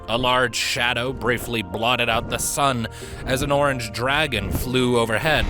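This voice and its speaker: A man narrates a story with lively, varied intonation and a bright, forward voice. His delivery is expressive and scripted, with music playing underneath. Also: mid-range voice. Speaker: narrator, fan fiction reader.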